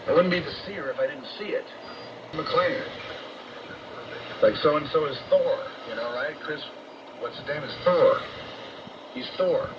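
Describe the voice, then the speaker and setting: A man's voice: a little high, lively intonation, far from the mic. Noisy muffled shortwave radio, rambling American man. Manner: halting and repetitive, grandiose, coarse-spoken. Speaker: radio talk host and monologuist. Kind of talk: rambling off-the-cuff radio monologue.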